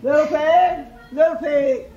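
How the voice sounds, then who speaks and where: A woman voicing a gleeful, greedy, menacing male wolf in a storybook tale, loud and blustering with drawn-out, boastful threats. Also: high-pitched, dull audio. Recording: echoey room with clear background noise.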